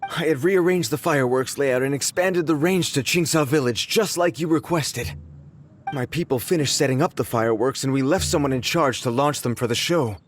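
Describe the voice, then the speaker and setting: A man's voice: mid-range voice, normal speed, slight background noise, close to an everyday voice. An actor playing an anxious young male fireworks organizer, flustered and worried. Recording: game dub with faint background music.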